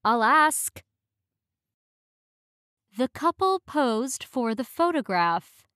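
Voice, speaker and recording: A woman reading short English practice phrases in a clear, measured way, each one said twice. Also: high voice, very animated, bright, forceful tone, dry recording, clean studio sound.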